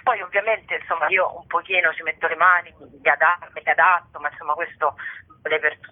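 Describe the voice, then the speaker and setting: A woman's voice: sits low, fairly even intonation, a little fast. Chatty woman on a muffled phone line. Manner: chatty, informal, jokey. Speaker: actress, interview guest. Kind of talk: casual interview chat. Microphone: telephone call.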